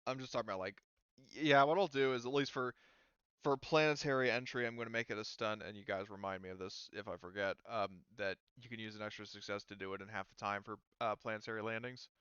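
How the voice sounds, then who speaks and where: Low, smooth man running a tabletop game. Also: fairly even intonation, quick pace, a bit bright, dry recording, lo-fi sound. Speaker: game master. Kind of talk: casual tabletop game narration and rulings.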